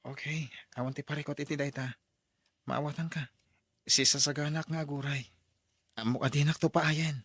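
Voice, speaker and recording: An actor playing a patient, gentle young suitor in a radio drama, with an Ilocano accent. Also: male voice, a little high, steady tone, normal speed, long pauses. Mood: gentle, sincere.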